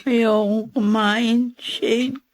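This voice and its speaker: A woman's voice: on the high side, fairly even intonation, extremely slow, no room sound. An actress playing a devastated but defiant young woman, speaking in a tearful, emphatic radio melodrama manner. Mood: despairing. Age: young adult. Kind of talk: melodramatic radio drama acting.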